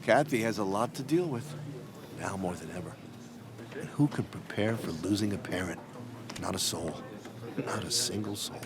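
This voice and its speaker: An actor playing a dry, composed man at a wake in a video game, speaking in a formal, measured, wry way. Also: on the low side, crowd noise, natural voice. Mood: somber.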